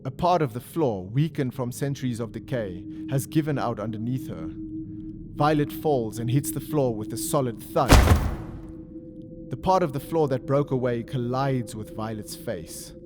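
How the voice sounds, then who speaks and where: A man giving solemn, measured, scripted narration for a dramatic audio story. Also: medium pitch, heavy background noise. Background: music and ambience.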